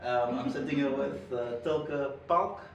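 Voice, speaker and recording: A man's voice: medium pitch, unhurried, creaky, highs cut off. A man hosting a casual podcast interview, Zambian accent, hesitant with many ums and laughs. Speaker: podcast host. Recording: echoey room, mic at a distance, background noise.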